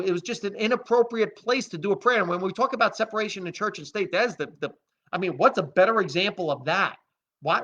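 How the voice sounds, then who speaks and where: A man's voice: high-pitched, sharp tone. An American man who grew up speaking Italian gives off-the-cuff news commentary in an opinionated, emphatic, conversational way. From United States, raised by Italian immigrants. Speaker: host of a commentary vlog. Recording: dull lo-fi audio.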